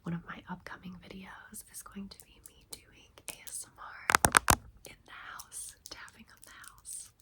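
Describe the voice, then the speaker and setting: A woman in her thirties hosting ASMR, telling a personal story in a breathy, intimate, chatty whisper. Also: medium pitch, steady tone, normal speed. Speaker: ASMR video host.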